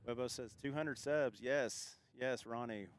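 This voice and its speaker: A man's voice: medium pitch, unhurried. A man hosting a casual live stream, reading out viewer comments and thanking people effusively, often repeating himself. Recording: clear background noise, outdoor airport ambience.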